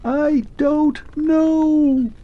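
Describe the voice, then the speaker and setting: A man voicing a melancholy clockwork man who speaks in a shrill, faint, pleading way. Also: a little slow, gravelly, dull audio. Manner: pleading, wistful, grows faint and distant.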